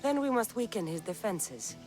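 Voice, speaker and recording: A woman playing a hard-edged mercenary who speaks bluntly with a dry, threatening edge. Her voice sits at a middle pitch. Faint game music plays behind. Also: sing-song, normal speed, darkish tone, lightly acted. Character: fierce, foul-mouthed woman mercenary. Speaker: mercenary hero.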